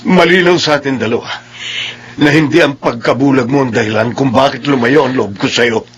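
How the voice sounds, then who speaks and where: A man's voice: medium pitch, a little fast, slight background noise, natural voice. A man acting a wounded, jealous husband in a Filipino radio drama, with impassioned delivery. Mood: furious. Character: Clyde, wounded, jealous husband of an adulterous wife.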